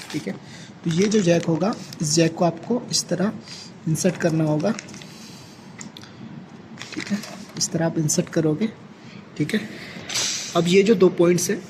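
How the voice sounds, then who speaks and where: A man with an Indian accent giving a hands-on, step-by-step equipment tutorial as a technician, often adding a short check-in tag. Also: on the high side. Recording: clearly noisy amateur recording with ambient sound.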